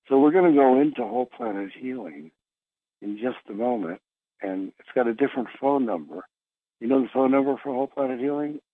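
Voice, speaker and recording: A man from Philadelphia with an American accent, hosting a casual, rambling conference call over the phone, haltingly repeating his phrases. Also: medium pitch, no echo. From Philadelphia, USA. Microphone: phone line on conference call. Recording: dull, lo-fi phone-call radio sound.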